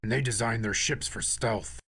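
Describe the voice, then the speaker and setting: A man voicing a stern, commanding military officer with a gravelly rasp in a space audio drama. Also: mid-range voice, normal speed, a bit bright. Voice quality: raspy, gravelly. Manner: commanding, clipped, formal.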